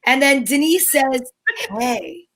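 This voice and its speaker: A woman's voice: sits high, slow, a bit bright. A woman co-hosting a live comedy stream, playfully announcing things and greeting viewers in casual banter. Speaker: co-host of a live comedy show.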